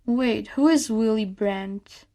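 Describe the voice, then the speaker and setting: A woman's voice: on the high side, slow, warm tone. A female performer playing a curious, eager seven-year-old daughter in a scripted radio play. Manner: inquisitive, pleading.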